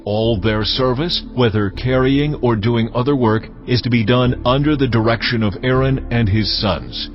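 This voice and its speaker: A man's voice: medium pitch, natural voice. A man as commanding God over music. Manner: measured, commanding. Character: God giving commands to Moses, solemn and authoritative. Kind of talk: dramatized audio Bible reading. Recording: dull studio sound under music. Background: clear background music.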